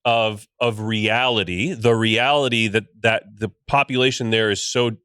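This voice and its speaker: Bright-voiced man weighing his words. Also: lowish voice, fairly even intonation, normal speed, clean tone, no room sound, clean studio sound. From United States. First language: Spanish and English. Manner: thoughtful, weighs his words, many fillers. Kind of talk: casual podcast discussion.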